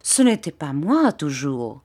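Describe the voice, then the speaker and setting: A woman voicing a fawning, falsely humble young male clerk who speaks with wheedling, drawn-out emphasis. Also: low-pitched, big pitch swings, a little mellow, breathy edge, exaggerated voice.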